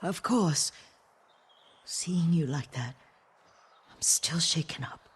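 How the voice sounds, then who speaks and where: A woman playing an elderly, devoted servant and herbalist in a video game, speaking softly and breathily with a gentle, deferential, motherly manner. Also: low-pitched, slow, slightly rough, full character voice. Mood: shaken, subdued. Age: older. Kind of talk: cinematic video game acting. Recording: music behind.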